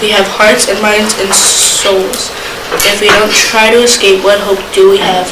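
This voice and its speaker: A woman's voice: medium pitch, level tone, measured pace, close to an everyday voice. A woman in an amateur readers' theater, reading the part of an earnest young enslaved man who yearns for freedom. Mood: urgent. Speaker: student actor. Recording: echoey room from far off, noisy.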